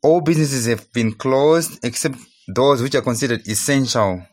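A man's voice: medium pitch, normal speed, darkish tone. A man with a Zimbabwean accent delivering a prepared first-person news report as a citizen correspondent.

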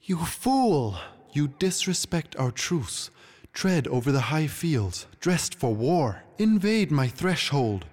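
A man's voice: fairly high pitch, big pitch swings, slow pace, a little mellow, pitched above the performer's own voice, exaggerated voice. A man voicing a proud, wrathful unicorn queen with a haughty, dramatic, regal delivery in a fantasy story. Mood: furious.

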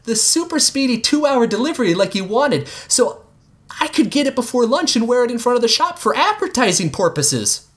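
Jean, a shrill overexcited little boy. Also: big pitch swings, fairly quick, full character voice. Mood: shrill excited. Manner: shrill, shouting, overjoyed. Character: hyper excited little boy in a spacesuit.

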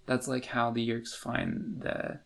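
A man with a noticeably creaky, gravelly voice co-hosts a casual book podcast. He is informal and hedges often, working out his ideas as he talks. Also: medium pitch, level tone, takes it slowly, a crowd in the background. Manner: hedging, informal, thinks aloud. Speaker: podcast co-host.

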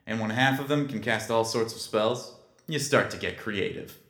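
A man playing a brooding kobold detective in a noir audio drama, wry and terse, with dramatic pauses. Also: medium pitch, heavy background noise, lightly acted. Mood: smug narration. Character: brooding male kobold detective, hard-boiled noir sleuth.

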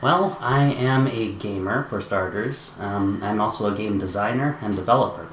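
A man with a low voice speaks in a relaxed, plain-spoken way as a podcast guest. He is set back from the mic in an echoey room with some faint noise. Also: moderate pace, recorded from a distance. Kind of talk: casual chat.

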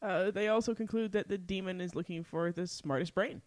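A woman's voice: lowish voice, a little fast, a little mellow, no room sound. A woman co-hosting a podcast, giving a casual, playful episode recap with a giggly manner. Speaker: podcast co-host.

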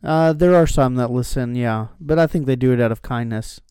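A man co-hosting a church podcast in casual chat, with a dry, teasing wit and quick jokey asides. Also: mid-range voice, warm tone, clean tone, no echo. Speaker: podcast co-host.

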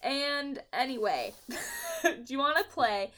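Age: adult. Sex: woman. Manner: teasing, high and animated. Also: slow pace, low-quality recording. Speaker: podcast co-host. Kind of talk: casual movie podcast chat.

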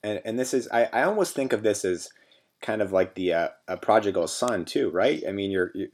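A man with a low voice hosting an interview. He feels his way into each question, circling back with fillers and restarts. His tone stays soft and conversational. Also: lively intonation, brisk. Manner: hesitant, many fillers and restarts, soft-spoken. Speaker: interview host.

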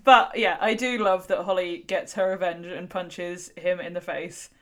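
A woman's voice: fairly low pitch, fairly even intonation, measured pace. A woman talking casually and frankly about a film as a podcast host, swearing freely and peppering her speech with "like". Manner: informal, opinionated, sweary, frequent "like". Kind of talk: casual chat, film discussion.

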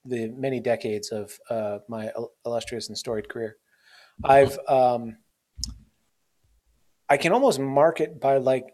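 A man's voice: medium pitch, steady tone, a little slow, long pauses, a little mellow. A man in his forties hosting a podcast, chatting in a rambling, self-mocking way with lots of fillers. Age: 40s. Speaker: podcast host. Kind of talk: casual interview chat.

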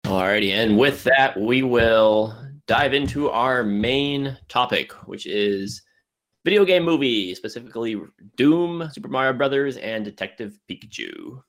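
A man's voice: medium pitch, animated, a little slow, a bit bright. A man with a mid-range voice hosts a podcast in a casual style. He steers the conversation informally, as among friends. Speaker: podcast host. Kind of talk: casual hosting.

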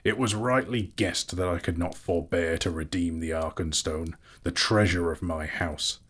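A proud dwarf king, acted by a male storybook reader, deep and gruff. He declaims slowly, with bitter scorn and an imperious temper, as in a dramatic fantasy tale. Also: steady tone, fairly bright tone. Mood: grim, bitter.

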